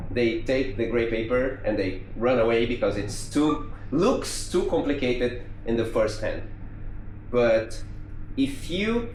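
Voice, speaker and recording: A man from Brazil with a Brazilian Portuguese accent, giving a technical conference talk in a conversational way, with restarts and fillers. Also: medium pitch. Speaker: conference speaker. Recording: echoey room with faint noise.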